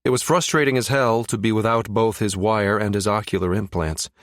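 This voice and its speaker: A man's voice: on the low side, fairly even intonation, fairly quick, no room sound, studio quality. A man narrating fiction as an audiobook reader, in a scripted storytelling style.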